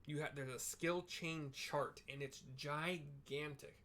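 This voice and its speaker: A man's voice: fairly high pitch, fairly even intonation, slow pace, fairly bright tone, a little hiss or hum. A man giving casual, rambling gameplay commentary as a streamer, explaining things informally as he thinks aloud. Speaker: video game streamer.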